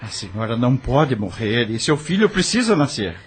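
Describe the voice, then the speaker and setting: Audio drama lead, male actor: principled widowed doctor, animated mid-range voice. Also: mid-range voice, normal speed, husky. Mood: urgent, reassuring. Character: earnest, resolute widowed doctor.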